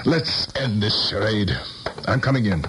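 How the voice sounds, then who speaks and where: A man's voice: fairly low pitch, lively intonation, unhurried, a bit bright, slight background noise, poor audio. An actor playing a guarded, insistent man pressing for answers in a 1970s radio drama. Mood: confrontational.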